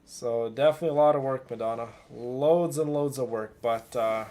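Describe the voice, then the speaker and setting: A man's voice: medium pitch, normal speed, mellow, a little hiss or hum. A man chatting casually as a livestream host while he plays a game, effusive and affectionate toward his viewers.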